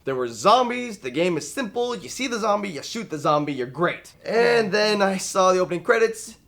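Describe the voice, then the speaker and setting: A man speaks as a panel guest, emphatic and expressive. His pitch swings widely and sits a bit high. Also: normal speed. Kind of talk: casual panel chat.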